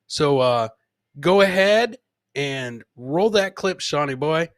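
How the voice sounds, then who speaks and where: A man's voice: a little high, expressive, slow pace, dry recording. A man hosting a casual live comedy show, chatting and reacting to clips, repeating himself and swearing freely. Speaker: podcast host.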